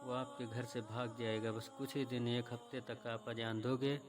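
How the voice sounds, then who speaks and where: A man's voice: mid-range voice, level tone, normal speed. A man presenting a religious explainer, addressing viewers directly in an earnest, preacherly way.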